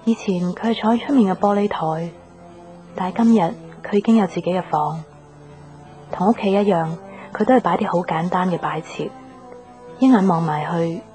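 An actress playing a wistful young woman in a radio drama, reflective and soft in manner. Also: lowish voice, natural voice. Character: wistful young woman still attached to old love. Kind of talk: Cantonese radio drama narration and dialogue. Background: background music.

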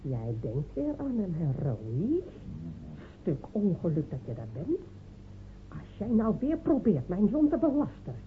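A fierce village woman in a radio drama, needling and sharp-tongued. Her pitch leaps around as she sneers. The recording is thin, tinny and faintly noisy. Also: wildly swooping pitch, a little slow, exaggerated voice. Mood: indignant, menacing. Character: fierce, scornful village woman defending her dead man. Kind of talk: radio play acting.

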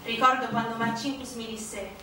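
A woman's voice: fairly high pitch, flat delivery, moderate pace, bright, forceful tone, a little hiss or hum. An actress playing a grave woman reading out a widow's accusations, firm and declamatory in theatrical style. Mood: firm, wounded. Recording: echoey distant stage recording.

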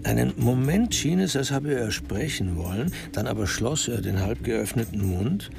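A man narrating a literary crime radio drama in a measured, precisely articulated way. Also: mid-range voice, expressive, gravelly, over music.

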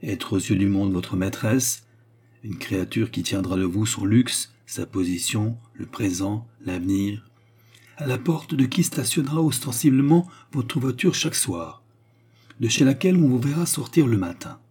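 A secretive former courtesan, acted out by a man speaks in formal, emphatic storybook phrases. The voice is husky and mellow, solemn and commanding, with the weight of repentance. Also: lowish voice, lively intonation, normal speed. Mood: grave, pleading. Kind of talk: dramatic storybook dialogue.

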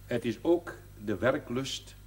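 An actor playing a proud older brewery director, giving a formal, solemn jubilee speech with emphatic swells in a period television drama. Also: male voice, medium pitch, animated, takes it slowly, slightly rough.

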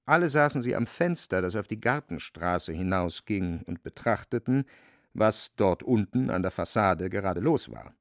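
A man's voice: lowish voice, dry recording, studio quality. A man narrating a literary radio drama in a wry, dramatic storytelling manner.

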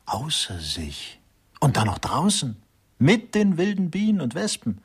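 An actor playing a sardonic detective's sidekick with a mocking delivery in a satirical radio crime drama. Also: male voice, on the high side, wildly swooping pitch, husky, exaggerated voice. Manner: mocking, drawn-out asides. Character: sardonic male investigator's sidekick, sceptical of art.

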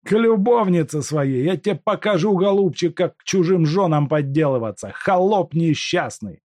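A man voicing a furious, bullying factory manager who shouts orders and insults in a high, strained voice. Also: expressive, moderate pace, no room sound, natural voice. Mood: raging. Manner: shouting, commanding, contemptuous.

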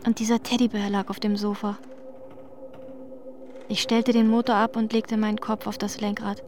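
A woman's voice: mid-range voice, little pitch movement, moderate pace, a little mellow, slight background noise, natural voice. An actress playing a shaken professional woman in a German radio drama, telling her story in a reflective, descriptive first person. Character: shaken professional woman visiting a wrecked neighborhood.

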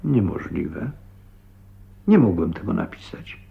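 Gravelly, genial older doctor. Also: male voice, deep voice, sing-song, takes it slowly, full character voice. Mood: flustered. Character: genial, chatty older doctor, flustered and absent-minded. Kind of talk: radio drama acting. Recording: thin, phone-like old radio recording.

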